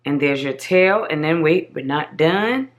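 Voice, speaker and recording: A slightly hoarse woman hosting a casual crafting tutorial, chatting viewers through each step as she works. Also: low voice, lively intonation, measured pace. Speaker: craft channel host.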